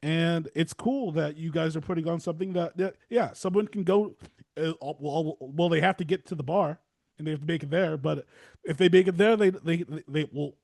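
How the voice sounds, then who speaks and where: Man, higher-pitched voice, dry close sound, casual interview host, rambling, repeats himself. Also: normal speed, gravelly, a little mellow, no echo. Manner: rambling, repeats and restarts phrases. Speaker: podcast host and theater reviewer.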